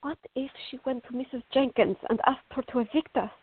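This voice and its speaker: A woman's voice: high voice, fairly even intonation, fairly quick, breathy edge. A woman acting a fiery, stubborn, sarcastic teenage girl in a radio drama. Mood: anxious. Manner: headstrong, sarcastic. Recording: muffled, phone-like narrow-band audio.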